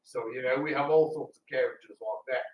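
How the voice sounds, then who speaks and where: A man's voice: mid-range voice, brisk. A man speaking casually and ramblingly to an audience, telling stories with teasing asides. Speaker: radio theatre actor and panel speaker. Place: indoor talk in a roomy space. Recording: roomy, distant, noisy live room recording.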